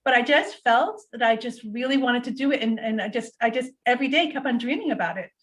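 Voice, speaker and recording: Brief: middle-aged woman, native Portuguese speaker, interview guest, quick and animated, slightly high voice, lots of ums. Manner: fast, animated storytelling with many fillers. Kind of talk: casual interview conversation.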